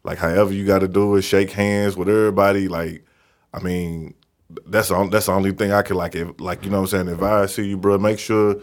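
A man, talking loud, blunt and direct in a casual chat. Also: little pitch movement, brisk. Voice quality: deep voice.

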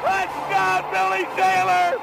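A man calling football play-by-play in excited radio commentary. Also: very high voice, slow pace, gravelly, over a noisy crowd, very noisy.

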